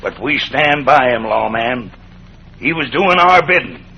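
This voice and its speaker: A stern old-west camp cook who leads his men with a folksy, stubborn drawl. His voice is husky and sits at a middling pitch. It has the dull, boxed-in sound of an old western radio drama. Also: expressive, a little slow. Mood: grave, defiant. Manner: folksy cowboy drawl, plainspoken and firm. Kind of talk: 1940s-50s western radio acting.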